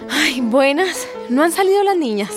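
A woman's voice: sits high, lively intonation, normal speed. A woman playing a young, anxious, dreamy migrant housemaid in a radio drama, sighing and exclaiming as she muses. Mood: weary, hesitant. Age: young adult. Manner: sighing, exclamatory, musing to herself. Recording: music behind the voice.

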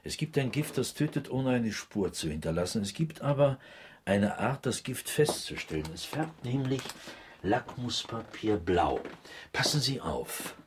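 An actor playing a stern, suspicious physician in a German radio drama, speaking in a husky, pointed, deliberate way. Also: male voice, mid-range voice, sing-song, background noise. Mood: grave, pointed.

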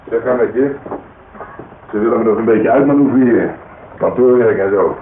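An actor playing a jaded, blunt, middle-aged old-school police detective in a radio drama, grumbling and needling. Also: male voice, medium pitch, moderate pace, natural voice. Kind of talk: naturalistic radio drama dialogue. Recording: tinny, noisy old radio recording.

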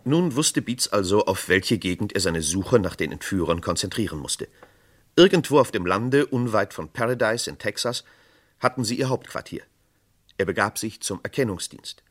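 A man narrating a vintage radio crime drama in a measured, matter-of-fact way. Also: fairly low pitch, lively intonation.

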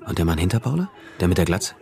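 An actor playing a cool, laconic private detective in a radio crime drama, understated and probing. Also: male voice, low-pitched, big pitch swings, very fast, vocal fry, darkish tone, soft background music, heavily acted.

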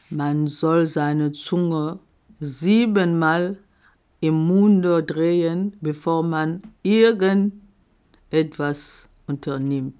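A woman reading her own reflective poetry aloud in a deliberate spoken-word style, with long pauses between short phrases, on a recording she made herself. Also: low-pitched, darkish tone. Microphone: self-recorded audio.

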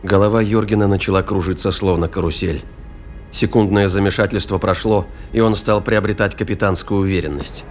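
A man narrates an audio drama in a low voice. His delivery is measured and literary, that of a scripted storyteller. Light music plays behind him. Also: fairly even intonation.

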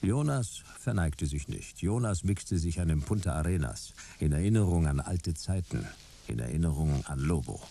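An actor playing a sardonic, world-weary private detective who narrates a radio play with laconic, dry irony. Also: male voice, rumbling low, lively intonation, moderate pace, creaky, warm tone, slight background noise, heavily acted. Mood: dismissive. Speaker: first-person narrator.

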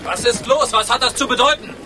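A stern guard captain who snaps out commands. His voice sits high and sharp, with clear outdoor ambience behind this radio play. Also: a little fast, a loud crowd, heavy background noise. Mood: commanding. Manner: commanding, barking orders.

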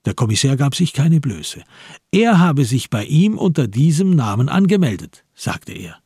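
A man as the sober narrator of a radio crime drama, telling the story in measured literary prose. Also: medium pitch, very animated, warm tone, husky, no echo, clean studio sound.

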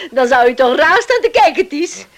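A woman acting a warm, proud island farm mother in a Dutch radio play, speaking with heartfelt emphasis. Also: high voice, very animated, moderate pace. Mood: warm, grateful.

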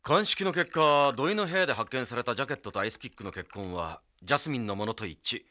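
An actor playing a businesslike police detective in a radio drama, reporting in a brisk way. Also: male voice, mid-range voice, lively intonation, sharp tone, no room sound, studio quality.

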